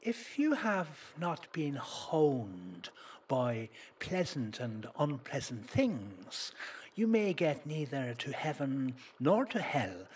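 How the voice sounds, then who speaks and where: A man voicing a wise hermit from a folk tale in a solemn, measured way. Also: medium pitch, a little hiss or hum, pitched above the performer's own voice.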